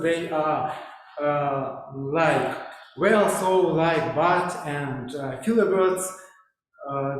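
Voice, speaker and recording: A man with a Russian accent introducing himself as the filler-word counter at a speaking club, halting and searching for words. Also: a little high. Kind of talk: club meeting role introduction. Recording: very echoey with faint noise.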